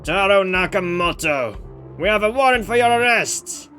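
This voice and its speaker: Man playing armed cop, game character, commanding, loud, raised pitch, music behind. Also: animated, normal speed. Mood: urgent, commanding. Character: shouting armed male police officer making a raid.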